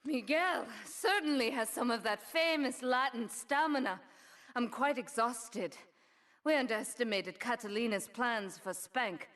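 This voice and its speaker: A woman's voice: fairly high pitch, measured pace, a bit bright. An actress playing a cool, ruthless female crime boss in a video game, poised and matter-of-fact about violence. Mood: dry, resolute. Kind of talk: scripted video game cutscene acting.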